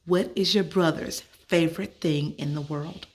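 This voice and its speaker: A woman's voice: sits low, fairly even intonation, normal speed, natural voice. A woman voicing a bold, scheming schoolgirl ringleader in a children's story. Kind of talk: read-aloud children's story.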